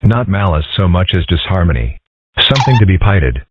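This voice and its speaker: A man voicing a calm, philosophical young fox boy in a comic strip dub, deadpan, measured and wry. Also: low voice, noisy background. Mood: calm, musing. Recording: muffled, phone-like narrow audio.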